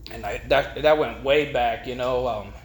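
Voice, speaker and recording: A middle-aged man gives an informal personal testimony, rambling and haltingly repeating words. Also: medium pitch. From East Los Angeles, United States. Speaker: speaker at a recovery meeting. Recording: echoey room with faint noise.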